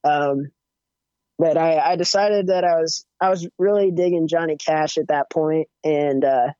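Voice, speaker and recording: A young man with a higher-pitched voice, chatting casually as a musician guest with lots of fillers and restarts. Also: unhurried, smooth, dry recording. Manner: relaxed, rambling, many fillers and restarts. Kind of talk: casual interview chat. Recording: dull, muffled audio.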